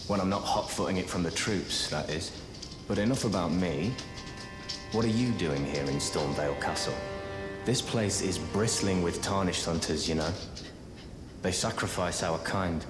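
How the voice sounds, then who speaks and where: A low, genteel male sorcerer from a fantasy game, courteous and wry. He chats like a scholar on the run. The voice rings with room echo over clear music. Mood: affable. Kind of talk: fantasy video game dialogue.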